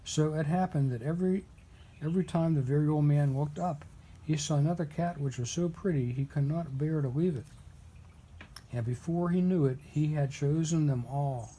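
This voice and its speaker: Mellow man reading a storybook. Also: a little high, normal speed, breathy edge, rough recording. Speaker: father reading aloud.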